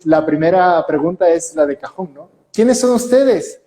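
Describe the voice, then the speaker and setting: Male priest host, warm mellow voice, fairly high pitch, reverberant room. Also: lively intonation, normal speed. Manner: friendly, enthusiastic questioner. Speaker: priest hosting an interview. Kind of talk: conversational interview. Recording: echoey room with long reverb.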